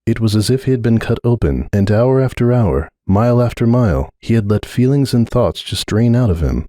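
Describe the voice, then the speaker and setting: A man's voice: mid-range voice, expressive, fairly quick, warm tone, no echo, clean studio sound. A man reading a story aloud as narrator, in a dramatic scripted narration style. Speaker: narrator of fan fiction readings.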